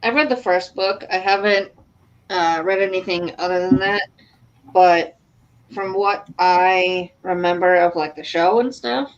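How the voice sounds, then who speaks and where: A woman's voice: lowish voice, measured pace. A woman giving matter-of-fact, blunt opinions in a casual group chat about books and shows. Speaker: book discussion participant. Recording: dull, lo-fi sound.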